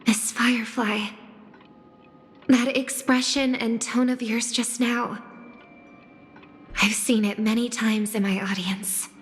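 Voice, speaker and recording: A graceful young singer from a video game, speaks with slow, careful formality. She is composed, earnest and kind, her fairly high voice carrying in a long reverb. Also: steady tone. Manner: measured, formal, deliberate. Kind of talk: video game character dialogue. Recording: long reverb, music behind.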